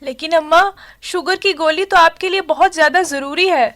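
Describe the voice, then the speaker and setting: An actress playing a caring, dutiful young daughter in a radio drama, speaking earnestly and gently. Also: female voice, very high voice, normal speed. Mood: concerned.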